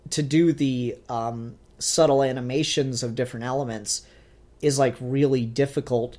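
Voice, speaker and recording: A man co-hosting a casual review discussion, thinking aloud in a halting way with repeated phrases. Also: mid-range voice, a little mellow. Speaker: podcast co-host reviewing a fan film.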